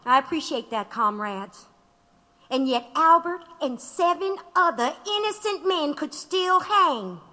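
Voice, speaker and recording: High, forceful woman agitator. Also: exaggerated voice. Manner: impassioned, emphatic. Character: fiery, determined woman labor agitator rallying her comrades. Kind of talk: audio drama oratory. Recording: long hall reverb.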